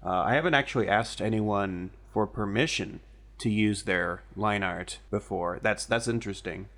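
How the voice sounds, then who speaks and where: A man's voice: on the low side, moderate pace, fairly bright tone. A man co-hosting a podcast, speaking thoughtfully and with slight hesitation. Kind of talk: casual conversation.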